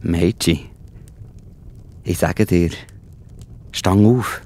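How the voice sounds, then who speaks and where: A man playing a calm, reassuring healer in a children's Bible audio play, measured and gently authoritative. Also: low voice, heavily acted. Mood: gentle authority.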